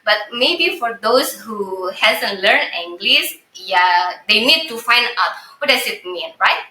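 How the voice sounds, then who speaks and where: Bright woman podcast host, laughs a lot, echoey room. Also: medium pitch, expressive, takes it slowly. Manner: laughs often, searches for words. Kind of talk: casual chat.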